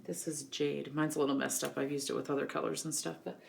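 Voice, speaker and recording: A woman with a scratchy voice from a cold, giving a relaxed, chatty scrapbooking tutorial. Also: low voice, quick pace, vocal fry. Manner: relaxed, chatty, many ums. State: getting over a cold, scratchy voice. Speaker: craft instructor.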